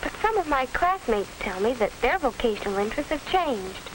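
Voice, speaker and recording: Earnest high-voiced American schoolgirl, played by an actress, 1940s film. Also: sing-song, brisk. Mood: earnest, unsure. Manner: formal, carefully phrased, polite. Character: earnest, polite teenage girl wanting to be a secretary. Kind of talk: 1940s instructional film acting, scripted. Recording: crackly 1940s film soundtrack.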